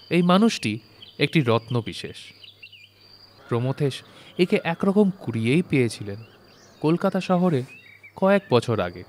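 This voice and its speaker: A man's voice: medium pitch, slight background noise. A man narrating a literary story from a script in a recording studio.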